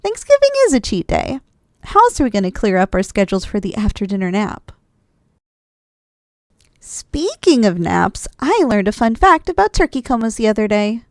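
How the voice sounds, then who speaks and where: Woman as sleepy, loving young-sounding wife for cuddly ASMR roleplay: soft, teasing, very animated pitch, close dry sound. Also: moderate pace, dry recording. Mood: teasing, fond. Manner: soft, affectionate, teasing, with sighs and giggles. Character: affectionate, drowsy, playful wife cuddling after a big dinner. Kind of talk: ASMR roleplay, intimate one-sided dialogue.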